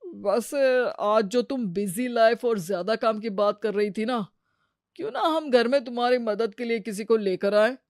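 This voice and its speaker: Young husband, acted by a woman, brisk, practical, teasing, storybook family drama, dry close sound. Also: fairly high pitch, darkish tone, dry recording, lightly acted. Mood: coaxing. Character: teasing, practical married elder brother and husband.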